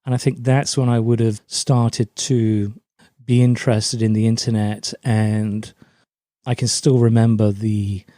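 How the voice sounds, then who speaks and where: A man's voice: on the low side, level tone, measured pace, dark tone, no room sound, clean studio sound. A middle-aged man from Germany, raised in a British forces family, giving chatty, anecdotal answers as an interview guest. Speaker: podcast guest, LinkedIn brand coach.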